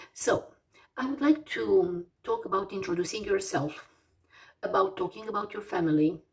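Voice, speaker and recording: A Romanian woman in her forties with a Romanian accent, teaching English vocabulary slowly, with many fillers and pauses as she lists words one by one. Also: on the low side, creaky, a little mellow, recorded from a distance, low-quality recording. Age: 40s. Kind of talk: slow vocabulary lesson.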